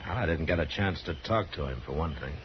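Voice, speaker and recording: An American actor playing a stern, terse frontier marshal with an authoritative manner in a 1950s radio western. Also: male voice, very deep voice, lively intonation, fast. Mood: firm, dry. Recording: dull 1950s radio recording, faint noise.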